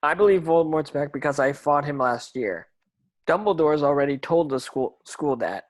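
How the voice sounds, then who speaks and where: A man's voice: fairly high pitch, expressive, moderate pace, close to an everyday voice. Guy reading as modest teen boy hero, earnest reluctant leader type, amateur play reading. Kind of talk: amateur online table read.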